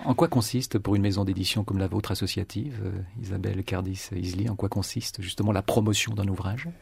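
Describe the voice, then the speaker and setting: A man hosting a radio interview, asking formal, measured questions. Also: deep voice, steady tone, creaky, a little mellow, slightly rough, dry recording. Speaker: radio host.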